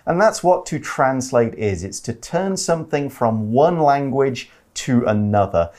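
A man's voice: medium pitch, expressive, unhurried, darkish tone. A man co-hosting an English-learning podcast, chatting casually as he explains words. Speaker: podcast co-host teaching English. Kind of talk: explaining vocabulary in casual chat.

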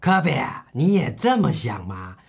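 Man voicing a Babylonian rich mentor, radio drama, patient, animated pitch, husky edge. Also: a little slow, heavily acted. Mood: questioning, instructive. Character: Arkad, richest man of ancient Babylon, patient teacher. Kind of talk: radio drama dialogue adapted from a comic.

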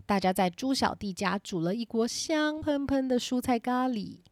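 A woman's voice: fairly high pitch, lively intonation, normal speed, no room sound, studio quality. A woman narrating a scripted children's story.

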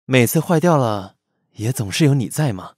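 A man's voice: medium pitch, lively intonation, normal speed, a little mellow, breathy edge, no echo, studio quality. An actor playing an easygoing, affectionate young male pastry assistant in an audio drama, speaking with a Taiwanese accent. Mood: friendly, pleading. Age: young adult. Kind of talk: audio drama acting with narration.

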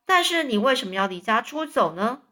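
A woman's voice: fairly high pitch, very animated, brisk, sharp tone. A woman voicing a kindly male schoolteacher. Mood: gentle. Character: stern but kind male schoolteacher.

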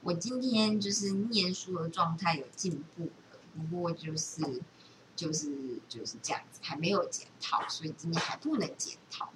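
Woman just chatting, kinda low voice, far from the mic w/ noisy background. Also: moderate pace. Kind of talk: casual chat. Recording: distant mic, clear background noise.

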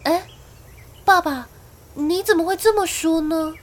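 A woman playing a young lord's daughter in a radio drama, speaking with gentle, clearly articulated storytelling. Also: very high voice, measured pace. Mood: eager, concerned. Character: young daughter of a castle lord, narrating her tale. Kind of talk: Mandarin radio drama acting.

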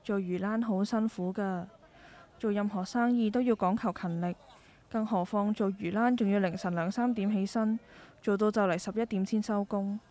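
A middle-aged market-stall mother in a radio drama, acted by a woman, talks in Hong Kong Cantonese at a middling female pitch. She is caring and a little world-weary, with a concerned, reflective way of speaking. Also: fairly even intonation, moderate pace, warm tone, lightly acted. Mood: concerned, resigned. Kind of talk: scripted radio drama dialogue.

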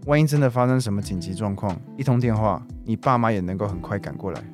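A man in his forties played by a young male actor speaks in a low voice over plucked guitar. He is a teasing, persuasive and earnest suitor in a radio drama. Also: fast talker, close to an everyday voice. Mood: earnest, thoughtful.

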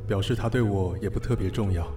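A man acts a courteous Taiwanese businessman in a radio drama. His voice is on the low side, calm and steady, reserved and sparing with words. Music plays clearly behind him. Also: fast, close to an everyday voice. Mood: reserved, pensive. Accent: Taiwanese Mandarin. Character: composed, courteous, reserved well-dressed businessman. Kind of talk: Mandarin radio drama acting with inner monologue. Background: background music.

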